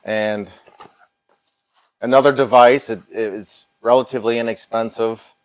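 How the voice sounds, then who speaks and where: A man's voice: medium pitch, steady tone, measured pace. A middle-aged American man hosting a paranormal livestream in a casual, folksy way, repeating himself as he shows a product.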